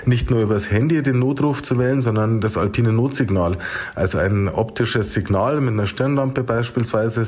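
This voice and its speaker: Low-voiced mountain rescue spokesman. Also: a little mellow. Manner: matter-of-fact explaining. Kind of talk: interview answer.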